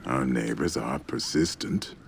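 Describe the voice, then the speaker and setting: An actor playing a gruff, weighty samurai warrior in a video game, with a very deep, gravelly voice. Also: male voice, a little slow, a little hiss or hum, full character voice. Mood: dour. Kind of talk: dramatic video game acting.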